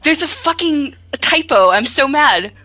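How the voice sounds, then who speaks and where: A woman's voice: high voice, lively intonation, a little slow, sharp tone. A woman chatting casually as a game developer during a playthrough, with music behind her. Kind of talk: casual chat.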